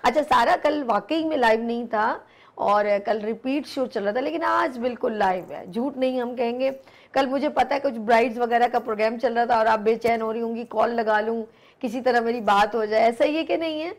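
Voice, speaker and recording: A woman hosts a live morning TV show, chatting with callers and guests through quick, joking banter. Her voice sits a little higher than most women's. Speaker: host.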